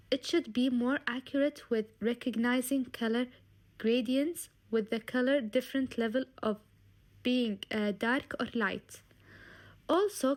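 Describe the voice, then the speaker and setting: A woman's voice: fairly high pitch, a little mellow. A woman presenting a student project in a formal, scripted explanatory style.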